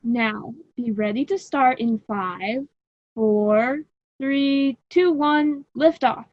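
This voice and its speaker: A woman playing a worried, earnest father and inventor in an amateur radio drama, on a slightly dull recording. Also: fairly high pitch. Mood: cautioning. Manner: earnest, deliberate, instructive.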